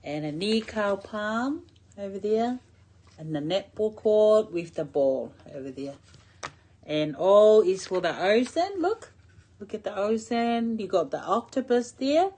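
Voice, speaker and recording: A woman's voice: medium pitch, darkish tone. A middle-aged woman reading a picture book aloud to a young child in simple short phrases, drawing out key words and pointing things out with questions.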